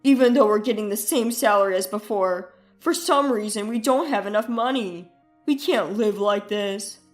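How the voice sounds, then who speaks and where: A woman's voice: mid-range voice, moderate pace, faint music behind, lightly acted. A woman voicing a needy, wheedling ex-husband in a dramatized story, pleading and plaintive.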